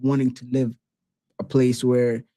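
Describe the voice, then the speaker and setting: A man from Tanzania hosting a casual interview, speaking in an animated, slangy and opinionated way. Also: medium pitch, measured pace, no room sound, studio quality.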